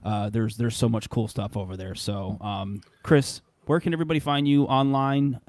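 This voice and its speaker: A man hosting a podcast in a casual chat. His voice sits at a middle pitch and he speaks haltingly but keenly, repeating words as he goes. The recording is dry and close. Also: darkish tone, no room sound. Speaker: podcast host.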